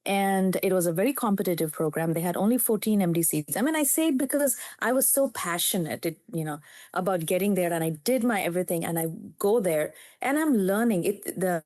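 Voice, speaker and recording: A woman's voice: on the low side, lively intonation, fairly quick, a little mellow, no echo, studio quality. Earnest Indian-accented woman psychiatrist. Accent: Indian English. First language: Telugu. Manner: earnest, reflective storytelling. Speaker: psychiatrist guest. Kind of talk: storytelling in a podcast interview.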